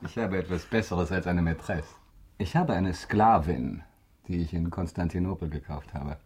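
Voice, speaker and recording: A man acting a mysterious, wealthy count in a German radio drama, speaking formally and with measured courtesy. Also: deep voice, darkish tone, breathy edge. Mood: coolly amused. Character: mysterious wealthy count, male, composed, courtly and self-assured.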